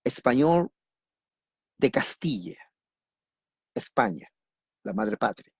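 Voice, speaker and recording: Peruvian male preacher, mid pitch, dry close sound, lively teacher who quizzes the room. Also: takes it slowly, breathy edge, dry recording. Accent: Peruvian Spanish. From Peru. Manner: repeats key words, asks and answers his own questions; lively, jokes with listeners. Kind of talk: teaching sermon on doctrine.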